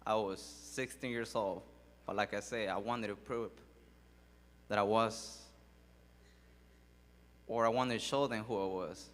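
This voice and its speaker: A man who grew up in Mexico, telling his life story in a halting speech full of fillers and pauses. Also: on the low side, fairly even intonation, fairly bright tone, long reverb tail. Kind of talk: personal testimony speech. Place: large hall at a public event.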